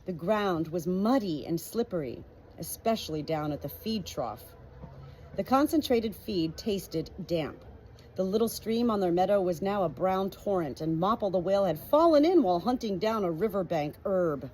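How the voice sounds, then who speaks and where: A woman with a slightly husky voice narrating a storybook from a script. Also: fairly low pitch, animated, moderate pace, a little mellow, slight background noise, rough recording. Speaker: audiobook reader.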